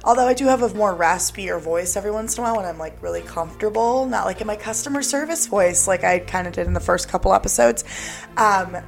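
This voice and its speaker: A young woman hosting a podcast, talking casually and rambling, her voice raspy and smoky from a cold that has left her throat sore. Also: medium pitch, fast talker, faint music behind. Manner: chatty, rambling, lots of "like" and "um". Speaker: podcast host. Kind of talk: casual solo podcast talk.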